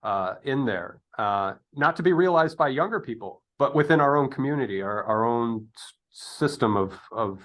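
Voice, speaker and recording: A man's voice: medium pitch, animated, moderate pace, fairly bright tone. Male, 50s, interviewer. Conversational and meandering, mid-range voice, works out ideas as he speaks. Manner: rambling, digressive, thinking aloud. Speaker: podcast interviewer.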